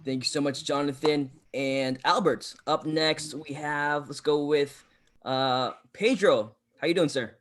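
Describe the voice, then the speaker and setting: A man with a fairly high voice moderates a video call. He talks casually and conversationally as the host. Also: steady tone, measured pace, fairly bright tone.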